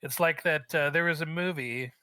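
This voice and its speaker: A man's voice: fairly high pitch, normal speed, pushed and bright, clear, resonant tone, no room sound. A man co-hosting a livestream in casual banter, playfully riffing with mock seriousness. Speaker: guest co-host.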